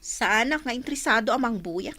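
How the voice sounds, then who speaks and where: A bitter, stubborn wife in a radio drama, acted by a woman, with a high, bright voice that swings widely. She is curt and dismissive, bursting into exclamations. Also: lively intonation, a little fast. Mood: grudging. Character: resentful, stubborn working wife bitter toward her father.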